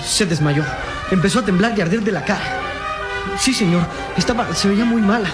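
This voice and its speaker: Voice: mid-range voice, brisk, over loud music, natural voice. An actor playing an earnest, loyal and anxious young boy in a radio adventure drama, speaking deferentially. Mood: worried. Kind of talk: old-style Latin American radio adventure acting.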